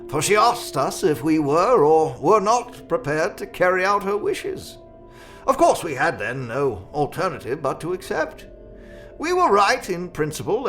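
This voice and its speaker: Victorian solicitor, audio drama: higher-pitched, very animated, genial, pedantic and effusively formal. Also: male voice. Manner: effusive, formal, long-winded. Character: genial, self-satisfied, pedantic male Victorian family solicitor.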